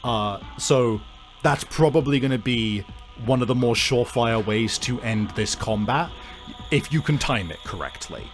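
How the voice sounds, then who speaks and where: A man's voice: mid-range voice, animated, unhurried. Man narrating a tabletop game over music. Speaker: game master. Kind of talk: dramatic tabletop game narration and rules talk.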